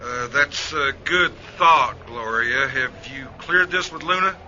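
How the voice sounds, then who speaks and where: A man's voice: mid-range voice, unhurried, bright, forceful tone, a little hiss or hum. A man playing a formal, suspicious spaceship commander in a radio play, stiffly courteous and guarded. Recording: dull band-limited sound, music behind.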